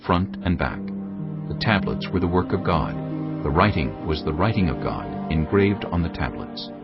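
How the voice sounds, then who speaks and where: A man with a low voice and a lot of expression narrates scripture in a steady, formal way. The audio is dull and lo-fi, with a prominent music score underneath.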